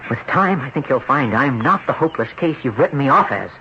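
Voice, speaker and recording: A man's voice: medium pitch, lively intonation, fast talker, background noise, lightly acted. An actor playing an earnest, troubled young man in an old-time radio drama. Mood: pleading, earnest. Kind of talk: old-time radio drama acting and first-person narration. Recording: dull old radio recording with music.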